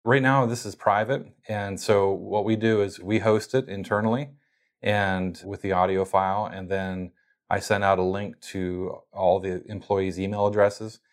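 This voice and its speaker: A man's voice: on the low side, steady tone, unhurried. A man being interviewed as a guest, explaining casually how he runs his company's podcast, with plenty of fillers and restarts.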